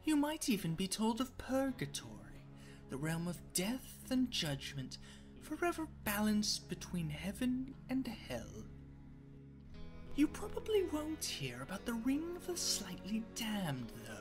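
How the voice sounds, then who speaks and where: A woman's voice: medium pitch, wildly swooping pitch, measured pace, music in the background. A woman playing a dramatic, wry storyteller, reading scripted narration for a radio play.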